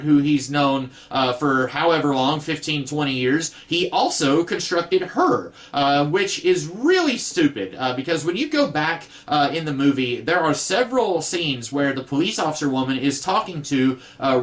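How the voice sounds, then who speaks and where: A man with a baritone-ish voice giving a rambling, casual film review full of filler uhs. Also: fairly bright tone.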